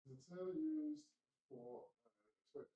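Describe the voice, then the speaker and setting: A man's voice: high voice, huge pitch swings, takes it slowly, mellow, highs cut off. A man chatting casually as a co-player checking facts, often hesitant and searching for words. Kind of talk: casual chat. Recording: roomy echo, distant from mic.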